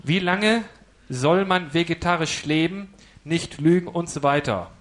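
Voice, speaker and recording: A man's voice: on the high side, unhurried, a bit bright. Man asking careful interview questions.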